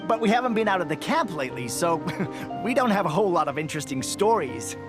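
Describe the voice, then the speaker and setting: An actor playing a cheerful, devoted young trooper in a video game, speaking frankly and respectfully. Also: male voice, high-pitched, big pitch swings, fast, over music. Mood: easygoing, frank.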